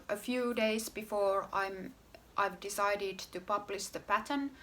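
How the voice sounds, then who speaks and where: A woman from Finland with a Finnish accent, hosting her own podcast about her knitwear design work in an unhurried, casual way. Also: fairly high pitch, a bit bright. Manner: unhurried, pausing often with fillers and restarts. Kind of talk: casual solo podcast talk.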